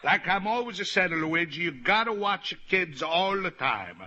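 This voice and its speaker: A man's voice: high-pitched, big pitch swings, normal speed, bright, forceful tone, highs cut off, full character voice. An actor playing a sly, overbearing Italian immigrant father who wheedles and boasts in broken English with an Italian accent, in old radio comedy style. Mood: boastful, jovial. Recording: noisy vintage radio recording.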